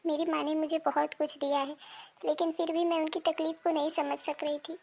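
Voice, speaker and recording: A woman playing an emphatic, melodramatic married daughter-in-law in an animated family moral drama. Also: high-pitched, a little fast, gravelly, a little hiss or hum. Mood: remorseful. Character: indignant married woman, sharp-tongued wife and daughter-in-law.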